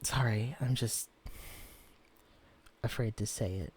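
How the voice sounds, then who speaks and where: A man playing a shy, soft-spoken shrunken young man. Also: lowish voice, slow, husky. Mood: tender. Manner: soft-spoken, hesitant, stammering when flustered. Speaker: character in a listener-directed romance audio. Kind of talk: audio roleplay drama.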